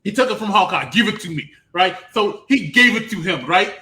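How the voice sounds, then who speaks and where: A man hosting an animated review show, ranting with theatrical emphasis and repeating himself for effect. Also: sits high, a little fast. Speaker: host.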